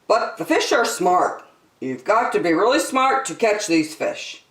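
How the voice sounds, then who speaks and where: A woman's voice: mid-range voice, very animated, measured pace, a bit bright, voice pushed up. Woman as an emphatic, protective storybook dad, roomy sound. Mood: earnest. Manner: emphatic, instructing. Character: girl's father, earnest, cautioning, protective. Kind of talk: children's storybook read aloud.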